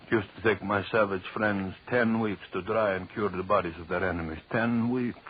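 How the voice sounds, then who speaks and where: An actor playing a deranged, vengeful killer in an old radio horror play, brooding and gloating. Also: male voice, sits low. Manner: slow brooding murmur breaking into frantic commands. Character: deranged vengeful male killer, gloating over his revenge. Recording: muffled, noisy old radio broadcast.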